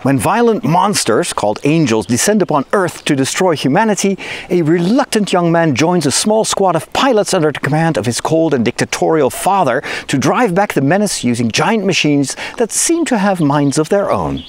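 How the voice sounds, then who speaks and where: A middle-aged man, a native Dutch speaker who works as a priest, chatting enthusiastically to his phone camera in a forest. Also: moderate pace. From Netherlands. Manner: chatty and enthusiastic, lively pitch swings. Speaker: priest and video host. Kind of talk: casual explainer to camera. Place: outdoors in a forest.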